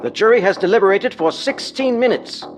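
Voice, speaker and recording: A woman's voice: mid-range voice, animated, fairly quick, close to an everyday voice. A woman playing a stern, commanding judge in a formal true-crime courtroom reenactment, with music behind.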